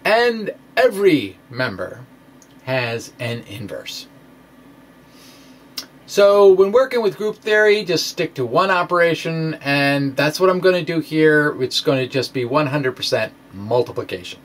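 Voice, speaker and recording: A man reads his science blog aloud as if lecturing informally. His voice sits a little high and he speaks very slowly. The delivery is halting and theatrical, leaning hard on key words. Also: big pitch swings, a little hiss or hum. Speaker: science video blogger. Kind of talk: informal dramatic reading of own science blog, explanatory.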